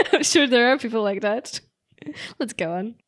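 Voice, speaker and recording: Young woman, bright high pitch with a very lively range, giggly and teasing; casual reaction co-host. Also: a little slow. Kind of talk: casual chat.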